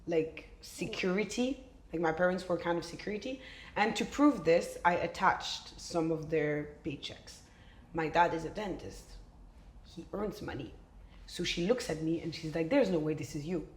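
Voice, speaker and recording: Frank woman telling a story, lower voice. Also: moderate pace. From Belgium. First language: French. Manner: frank, animated storyteller, many fillers. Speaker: podcast guest. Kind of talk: casual conversation. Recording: roomy echo.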